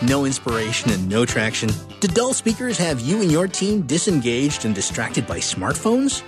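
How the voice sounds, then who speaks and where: Animated ad voice, salesy, over music. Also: mid-range voice. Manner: punchy, salesy. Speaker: ad announcer. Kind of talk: scripted radio ad read.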